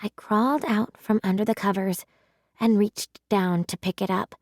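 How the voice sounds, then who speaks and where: A woman reading a children's story aloud with measured, dramatic storytelling. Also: medium pitch, steady tone, dry recording, studio quality. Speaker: children's audiobook reader.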